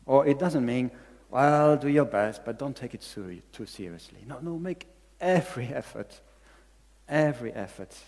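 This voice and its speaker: A man with a Belgian accent preaches in English, his second language, with an earnest delivery that leans on repeated phrases. His voice sits at an ordinary middle pitch. The sound carries a long reverb, as in a large hall. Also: lively intonation, normal speed, a little mellow. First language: not English. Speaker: pastor. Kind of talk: sermon.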